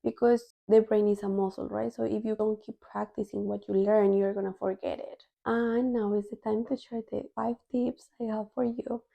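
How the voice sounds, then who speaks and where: A woman's voice: mid-range voice, level tone, normal speed, vocal fry, few pauses, warm tone. A young adult woman from Colombia, a native Spanish speaker with a Colombian accent in English, telling her own story casually to viewers as a vlogger. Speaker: language-learning vlogger.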